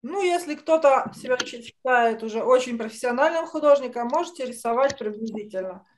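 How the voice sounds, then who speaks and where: A middle-aged woman teaching children drawing step by step over a video call, explaining patiently and haltingly. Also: fairly high pitch, expressive, slight background noise. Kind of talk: step-by-step online lesson instruction. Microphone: computer mic on video call.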